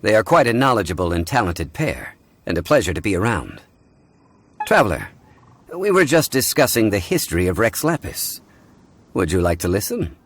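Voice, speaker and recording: An actor playing a composed, courteous scholarly gentleman in a video game, speaking formally and with measured politeness. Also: lowish voice, big pitch swings, slight background noise. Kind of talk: video game character acting.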